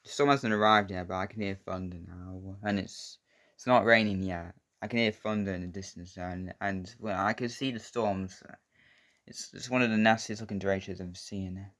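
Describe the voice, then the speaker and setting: A man's voice: deep voice, fairly even intonation, moderate pace, a bit bright. A man chatting casually as a storm chaser, in loose, rambling talk full of restarts, with rain noise behind him. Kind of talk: casual banter among friends.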